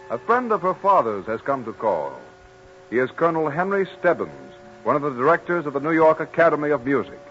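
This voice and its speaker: A man narrating an old-time radio drama in a measured, formal storytelling manner. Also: mid-range voice, fairly bright tone. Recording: muffled, lo-fi old radio broadcast.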